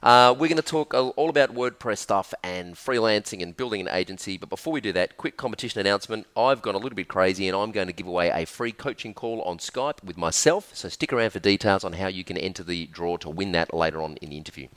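A low-voiced Australian man hosts the podcast with an upbeat, chatty energy. He records from an office reception desk and talks quickly into his questions. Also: a bit bright. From South Australia. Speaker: podcast host.

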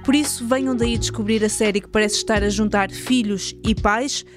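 A woman's voice: fairly high pitch, fairly even intonation, moderate pace, music in the background. A woman with a European Portuguese accent hosting a podcast, reading a scripted documentary narration. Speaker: podcast host.

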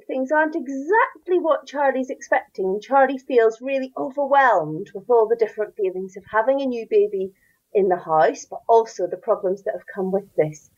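A woman's voice: fairly high pitch, muffled recording. Enthusiastic Northern Irish woman author. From Northern Ireland. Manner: enthusiastic, warm storytelling with laughs. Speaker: children's author. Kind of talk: interview answers.

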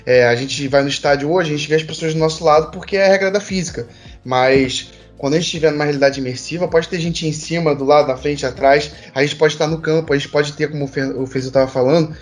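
Higher-voiced Brazilian man thinking aloud. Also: fast, faint music behind. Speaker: panel participant. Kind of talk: casual discussion, thinking aloud.

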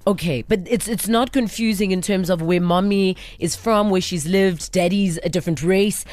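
A woman works as an interview host, talking in a loose, chatty way. Her pitch sits in a middle range for a woman, and she puts questions as if in everyday conversation. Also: normal speed, a little mellow.

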